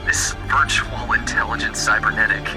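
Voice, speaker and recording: A man playing a weary captive test subject in a video game, confiding in a halting, stammering monologue. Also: on the low side, flat delivery, vocal fry, music in the background. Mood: haunted, determined.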